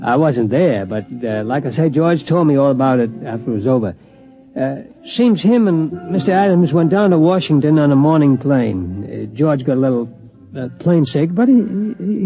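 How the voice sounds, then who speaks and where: An American actor playing a folksy, easygoing storyteller, rambling through narration in a 1950s radio drama. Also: male voice, fairly high pitch. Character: folksy, easygoing storyteller recounting secondhand events. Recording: muffled old radio recording, faint music.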